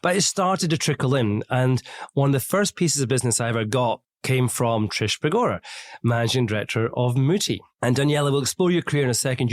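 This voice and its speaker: A man hosting an interview podcast, talking in a chatty, easygoing way with many ums and restarts as he asks questions. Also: mid-range voice. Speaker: podcast host, recruiter. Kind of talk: conversational interview.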